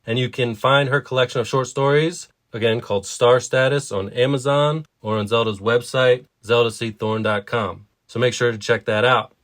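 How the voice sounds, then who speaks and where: Male podcast co-host, mid-pitched voice. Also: fairly even intonation, moderate pace, few pauses, a bit bright. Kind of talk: casual podcast chat and introductions.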